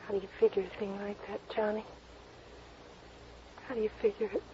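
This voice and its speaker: An actress playing a vulnerable, pleading woman in a classic radio melodrama, with halting, emotional delivery. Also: mid-range voice, little pitch movement, very noisy, natural voice. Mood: sorrowful. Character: anguished young woman in trouble, pleading and vulnerable. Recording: muffled old radio recording.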